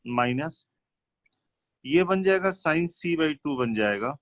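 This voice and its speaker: A man's voice: fairly high pitch, expressive, slow pace, a bit bright, no room sound, studio quality. A man teaching maths live, working through problems step by step in an instructional way and mixing in English technical terms. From India.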